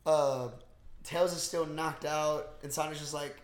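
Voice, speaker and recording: Podcast co-host, young man, slightly high pitch, loose profane chat, strong room echo. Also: fairly even intonation, unhurried. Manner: slangy, profane, rambling. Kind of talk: casual movie recap chat. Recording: roomy, echoing room sound.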